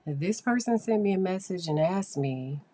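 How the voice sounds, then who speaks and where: A hoarse woman hosting a psychology podcast, talking casually and explaining things with fillers. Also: fairly low pitch, animated, normal speed, warm tone. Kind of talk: casual solo podcast talk.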